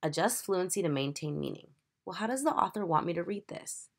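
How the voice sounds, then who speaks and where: A woman teacher reading a children's picture book aloud in an expressive, emphatic, instructive storytelling manner. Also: lowish voice, fairly quick.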